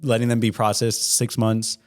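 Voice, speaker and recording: A man in his twenties, an accountant guest in an interview, giving earnest, measured explanations. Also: lowish voice, flat delivery, darkish tone. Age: 20s. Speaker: certified public accountant, guest. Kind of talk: interview answers.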